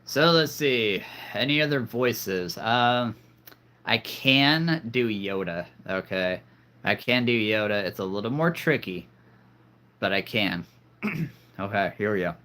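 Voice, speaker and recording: A man's voice: on the low side, expressive, takes it slowly, sharp tone. Jokey man rambling on a live stream. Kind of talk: casual live-stream chat.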